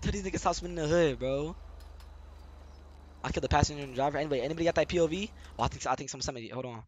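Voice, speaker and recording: High-pitched young man, boastful and slangy. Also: very fast, slight background noise. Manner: boastful, repetitive, heavy street slang and swearing. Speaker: game streamer. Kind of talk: excited casual live-stream commentary while role-playing in a game.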